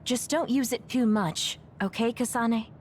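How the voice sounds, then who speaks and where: An actress playing a gentle, caring, worried young woman in a video game. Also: a little high, a little slow, slight background noise, natural voice. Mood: concerned. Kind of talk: English video game voice acting.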